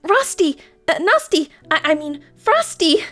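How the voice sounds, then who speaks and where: A young cartoon pony princess with a very high voice. She is lovestruck and flustered, stammering as her pitch leaps around. Soft music plays behind this audio drama performance. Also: big pitch swings, measured pace, full character voice. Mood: flustered, smitten. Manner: gushing, stammering.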